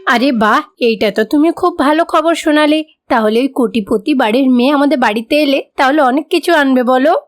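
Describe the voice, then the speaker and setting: A woman plays a greedy, scheming cartoon mother-in-law of middle age. She is domineering and argumentative, in a high-pitched voice. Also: a little fast, natural voice. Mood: greedy delight.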